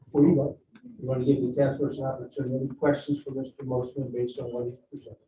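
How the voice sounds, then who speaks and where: A man's voice: mid-range voice, little pitch movement, fast talker, dark tone. Male chair of a public hearing, courteous and procedural; distant, roomy, noisy recording. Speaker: meeting chair. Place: meeting room. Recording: distant roomy mic with noise.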